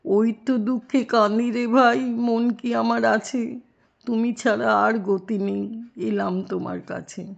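A cunning male jackal from a children's storybook, acted out by a woman. He is theatrical and stretches his calls into a slow singsong. The sound is a little dull. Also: on the high side, steady tone, vocal fry, voice pushed up, heavily acted. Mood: plaintive. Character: sly cunning male jackal pundit, mocking trickster.

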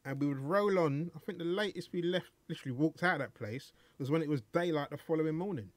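A middle-aged male host with a somewhat high voice, talking into a studio mic on a boom arm. He asks his questions in a relaxed, easygoing way and fills the gaps with short murmurs of agreement. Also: quick pace. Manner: relaxed, friendly questioning, many short backchannels. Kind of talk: casual interview. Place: home music studio.

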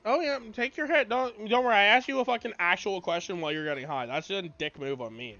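Pria muda bersuara tinggi dan cerah. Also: male voice, sits high, quick pace, lo-fi sound. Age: 20s. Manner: excitable, swears freely, talks over others. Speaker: streamer. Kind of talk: casual chat while gaming. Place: small bedroom with colorful wall.

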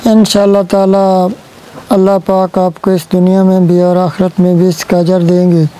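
Young man, Pakistani Urdu accent, dark flat earnest vlogger voice. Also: high voice, a little hiss or hum, rough recording. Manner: slow, earnest, pleading. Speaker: village vlogger. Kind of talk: direct-to-camera appeal. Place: outdoors in a sunny village courtyard. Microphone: lapel mic.

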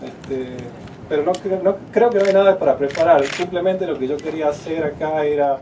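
A middle-aged man with an Argentine accent gives an unscripted thank-you speech at an outdoor ceremony, full of fillers and restarts. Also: a little high. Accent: Argentine Spanish. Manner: halting, many filler words and restarts. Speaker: company executive giving a speech. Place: outdoors at a groundbreaking ceremony under a tent. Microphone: handheld mic at a podium, filmed from afar. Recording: distant outdoor camera audio with noise.

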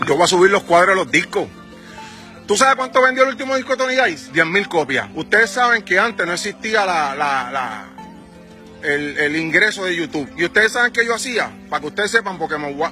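A man's voice: sits high, bright, forceful tone. A man in his forties with a Puerto Rican accent, a music manager ranting heatedly into his phone outdoors, firing rhetorical questions at his followers. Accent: Puerto Rican Spanish. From Puerto Rico. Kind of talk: angry selfie-video rant. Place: outdoors on a sunny residential street. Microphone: phone at arm's length.

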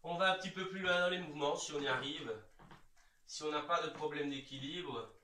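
A man in his thirties giving calm, reassuring step-by-step instructions as an exercise coach, recorded in a large bare room from several metres away. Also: on the high side, fairly quick, slight background noise. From Belgium. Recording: echoey room, distant microphone.

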